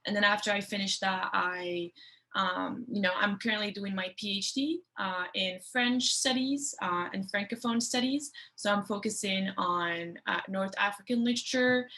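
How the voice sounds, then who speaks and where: A woman in her twenties from Morocco, talking casually to students with plenty of fillers and restarts. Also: mid-range voice. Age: 20s. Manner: relaxed, with many fillers and restarts. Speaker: guest speaker for an education program.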